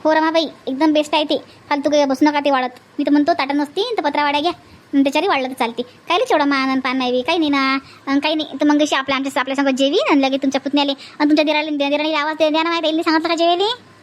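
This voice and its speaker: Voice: high voice, fairly even intonation, racing, close to an everyday voice. A performer voicing a bossy, grumbling village auntie in a family comedy cartoon, scolding and complaining in a colloquial Vidarbha Marathi accent. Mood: bossy, hospitable.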